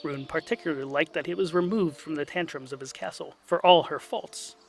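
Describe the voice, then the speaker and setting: Wry, creaky-voiced male storyteller. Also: a little high, a little fast. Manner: wry, dry. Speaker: narrator. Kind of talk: scripted comic fantasy narration.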